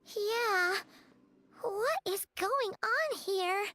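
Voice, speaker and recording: A woman voicing a tiny, excitable, curious fairy companion girl in a fantasy game, with a squeaky childlike voice and a naive manner. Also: extremely slow, noisy background, exaggerated voice. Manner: excitable, naive, refers to herself by name. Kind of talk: anime fantasy game voice acting.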